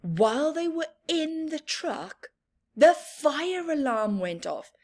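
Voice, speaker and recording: Woman, children's storyteller, high voice, slow unhurried pace, expressive picture-book reading. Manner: expressive, chatty with young listeners. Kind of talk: animated picture-book read-aloud with playful asides.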